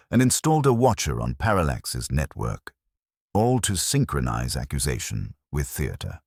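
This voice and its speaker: A man acts out an imperious, heavyset genius detective in a mystery audiobook. The voice is extremely low and husky, pronouncing formal judgments with pompous precision and swinging emphasis. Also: dry recording, clean studio sound, full character voice.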